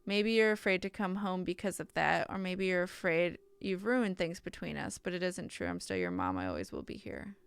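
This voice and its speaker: Clear-voiced woman reading a game aloud. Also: lowish voice, lively intonation, moderate pace, hardly any pauses, no room sound. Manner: self-interrupting, stumbles and corrects herself while reading. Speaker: game streamer reading a visual novel aloud. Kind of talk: reading game text aloud with casual stream chat.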